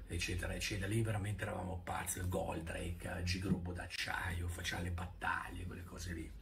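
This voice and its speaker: A man's voice: low voice, level tone, brisk, gravelly, crowd noise. A man in his fifties reminiscing casually to camera, hesitant and often searching for words. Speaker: vlogger. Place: indoor room at home. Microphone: camera held at arm's length. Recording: noisy amateur phone-style video recording.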